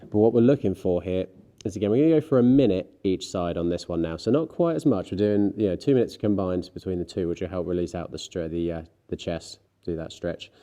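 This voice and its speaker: Low, mellow male fitness coach with a blocked nose; calm, steady stretch cues, a dry joke now and then. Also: fairly quick. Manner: calm coaching with light self-mocking asides. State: congested from a cold, a bit nasal. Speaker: fitness instructor. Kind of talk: guided stretching class.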